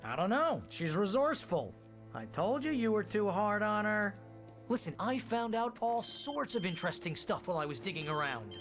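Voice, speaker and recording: An actor playing a writer's excitable best friend in a video game, chatty, casual and rambling. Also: male voice, high voice, moderate pace, soft background music.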